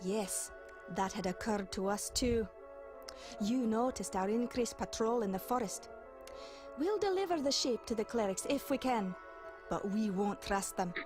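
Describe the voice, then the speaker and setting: A woman's voice: medium pitch, animated, a little slow, music in the background, natural voice. A woman playing an earnest, kindly young shepherd leader in a video game, with solemn, formal storytelling diction. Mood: grave.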